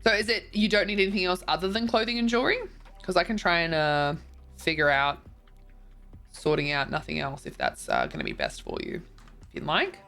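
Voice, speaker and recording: A woman's voice: on the low side, sing-song, a little fast, a bit bright, soft background music. Tired, congested woman streamer. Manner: chatty, rambling, many ums and likes. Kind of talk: casual chat reading viewer messages.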